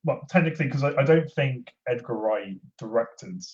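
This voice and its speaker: A man chatting casually on a podcast in a hesitant, wordy, measured way. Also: mid-range voice, animated, darkish tone. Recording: dull, lo-fi audio.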